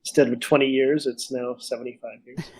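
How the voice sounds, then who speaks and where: A man's voice: mid-range voice, steady tone, brisk, darkish tone, slightly rough, rough recording. A man with a conversational, explanatory manner, chatting casually as a podcast co-host. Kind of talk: casual discussion of comics and films.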